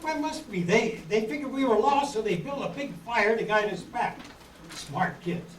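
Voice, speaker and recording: Man acting a hearty castaway sailor, lively pitch. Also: moderate pace. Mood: reassuring, hearty. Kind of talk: old-time radio acting. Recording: roomy, distant mic, faint noise.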